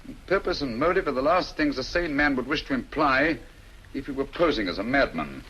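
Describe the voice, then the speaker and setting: An actor playing a brilliant, commanding British detective with a crisp, emphatic, dryly witty delivery in an old-fashioned drama style. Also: male voice, medium pitch, expressive, a little fast, a bit bright, dull audio, a little hiss or hum, lightly acted. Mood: assured, reasoning. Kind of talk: old radio and film drama acting.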